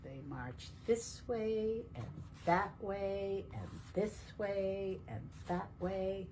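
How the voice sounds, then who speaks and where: A woman reading a picture book aloud to young children in a deliberate, teacherly way, asking questions as she goes. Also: medium pitch, lively intonation, a little mellow, a little hiss or hum. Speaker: children's storytime reader at a library.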